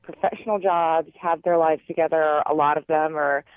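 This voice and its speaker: A woman's voice: sits low, fairly even intonation, moderate pace, clean tone, no room sound. A woman phoning in to a radio show, casually explaining her personal story over a telephone line with some hedging. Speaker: phone-in guest on a radio show.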